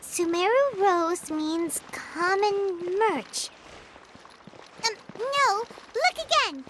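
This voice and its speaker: A woman voicing a tiny, excitable, childlike fairy companion in a video game, squeaky and fretful. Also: drawn-out words, slight background noise, full character voice. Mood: puzzled, hesitant. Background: game music.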